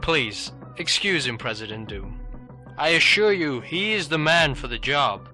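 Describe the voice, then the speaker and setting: Cartoon corporate chief, smooth-talking older schemer, slightly high, forceful voice, formal and persuasive. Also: male voice, big pitch swings, measured pace, faint music behind, exaggerated voice. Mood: smooth, official. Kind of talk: animated superhero drama acting.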